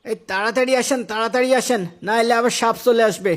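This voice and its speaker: A man as a very high-voiced, jumpy young gravedigger. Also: steady tone, fast. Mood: panicked. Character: frightened, grumbling young gravedigger in a village. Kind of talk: Islamic moral cartoon story acting.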